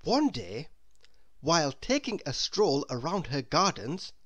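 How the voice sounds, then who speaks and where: A woman reading a children's story aloud in an expressive, deliberate manner. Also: low voice, dull audio. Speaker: storybook reader. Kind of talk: children's read-aloud narration.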